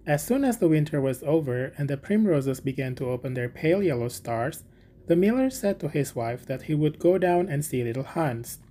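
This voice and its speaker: A woman voicing a patient little linnet telling a story, speaking calmly. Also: deep voice, normal speed, slight background noise.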